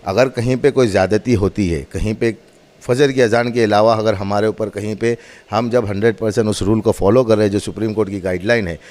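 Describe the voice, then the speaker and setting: A man's voice: fairly low pitch, fairly even intonation, a little fast, a little mellow. A man gives a spoken demonstration, explaining in an emphatic, repetitive, instructive way. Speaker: mosque representative demonstrating loudspeaker equipment.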